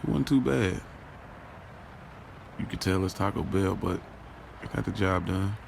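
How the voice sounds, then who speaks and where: Man acting a deep, gravelly, confiding storyteller. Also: unhurried, noisy background, full character voice. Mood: wry. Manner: casual, slangy, confiding, addresses listeners directly. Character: smitten, boastful, self-questioning man recounting his love life. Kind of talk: first-person audio drama monologue, conversational storytelling.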